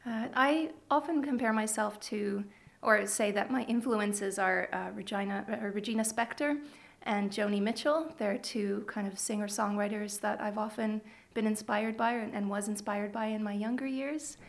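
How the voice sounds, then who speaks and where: A woman's voice: mid-range voice, fairly even intonation, normal speed, creaky. A woman in her thirties with a Canadian accent, chatting thoughtfully and a little hesitantly as a musician guest in a radio interview.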